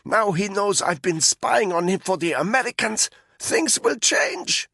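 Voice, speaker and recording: A man putting on a croaking, choked woman. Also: sits high, animated, unhurried, pushed and bright, no echo, clean studio sound, pitched above the performer's own voice, heavily acted. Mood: fearful. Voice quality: croaking, strained. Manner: breathless, pleading, rising to cries. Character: frightened female informant, choking under a rope.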